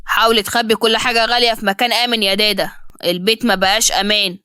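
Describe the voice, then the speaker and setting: A woman's voice: medium pitch, level tone, rapid-fire, sharp tone, clear, resonant tone, no room sound, clean studio sound, lightly acted. A woman voicing a cruel, sarcastic rich man who threatens and mocks in a cold, commanding manner. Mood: menacing. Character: cruel, sarcastic, threatening wealthy man, lover turned bully.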